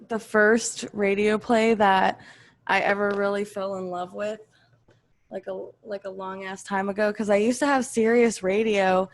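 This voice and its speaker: A woman chatting casually as part of a podcast cast. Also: medium pitch. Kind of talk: casual chat.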